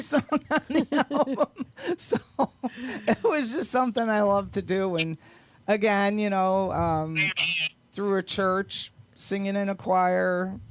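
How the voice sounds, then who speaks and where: A middle-aged woman chatting casually as an interview guest, rambling along in an enthusiastic way. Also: medium pitch. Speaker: guest, amateur actress and singer.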